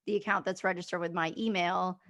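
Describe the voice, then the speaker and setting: A woman's voice: fairly low pitch, steady tone, a little fast, fairly bright tone. A young adult woman hosting a casual interview, chatty and upbeat, with many filler words. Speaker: host.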